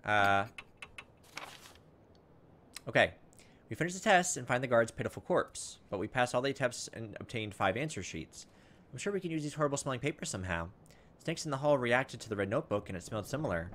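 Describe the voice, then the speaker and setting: A man giving a casual let's play commentary, thinking out loud, reading game text and tossing in exasperated asides. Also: mid-range voice, animated, fairly quick, long pauses, slight background noise. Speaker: gaming video host. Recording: background music behind voice. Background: video game music.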